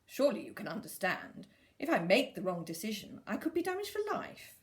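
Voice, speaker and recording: A woman's voice: medium pitch, sing-song, fairly quick, lightly acted. A woman voicing a precocious, polite but disapproving talking fetus in a read story, formal and probing in manner. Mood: reproachful. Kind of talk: storybook character reading.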